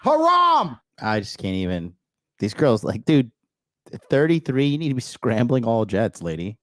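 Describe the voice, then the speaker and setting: A man's voice: mid-range voice, huge pitch swings, measured pace, a bit bright, dry recording, clean studio sound. A man hosting a podcast, making sarcastic, crude comments as he reacts to a dating video. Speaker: podcast host reacting to a clip. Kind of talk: mocking commentary.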